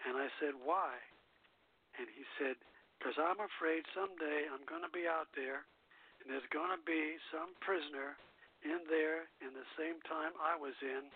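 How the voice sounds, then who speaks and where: Male radio host, slightly high and husky, halting read of a music history script, thin phone-line sound. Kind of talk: reading a music biography aloud.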